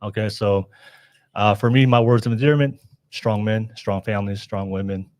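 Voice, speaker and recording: A man's voice: lowish voice, moderate pace, a little mellow. A man hosting a casual podcast panel, upbeat and chatty, calling out to his live chat. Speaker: podcast host. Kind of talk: casual hosted panel talk.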